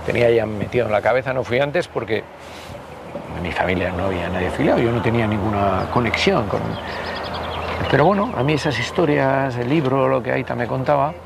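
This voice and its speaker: Gravelly Basque man in his sixties reminiscing. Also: mid-range voice, animated, heavy background noise. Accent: Spanish from the Basque Country. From Basque Country, Spain. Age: 60s. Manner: rambling, halting, anecdotal, peppered with tag questions. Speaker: interview guest, political party member. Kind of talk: casual reminiscing in an interview.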